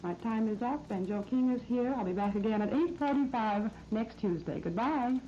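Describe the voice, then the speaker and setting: A woman's voice: on the high side, moderate pace, warm tone. An American woman hosting a scripted 1930s radio shopping program, giving promotional, instructive product talk. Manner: promotional, instructive sales patter. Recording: dull, noisy 1939 radio disc recording.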